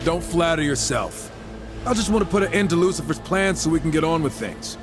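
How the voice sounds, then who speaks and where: A man's voice: fairly high pitch, lively intonation, fairly quick, over music, close to an everyday voice. Man playing a cocky, swooping, wisecracking horseman. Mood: breezy, confident. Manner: sarcastic, breezy, quipping. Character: cocky, wisecracking male horseman, irreverent, impatient gunslinger type. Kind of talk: video game cutscene acting.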